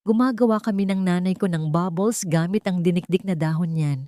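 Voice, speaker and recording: A woman's voice: fairly low pitch, fairly quick, mellow, dry recording, studio quality, close to an everyday voice. A woman voicing a composed, protective wealthy mother, earnest and polite, in a storybook narration. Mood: fond.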